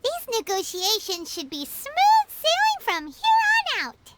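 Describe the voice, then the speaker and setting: Squeaky tiny girl sidekick, very high. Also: huge pitch swings, takes it slowly, full character voice. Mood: smug, pleased. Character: tiny floating childlike girl companion, perky and chatty. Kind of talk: video game voice acting. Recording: loud noise behind the voice.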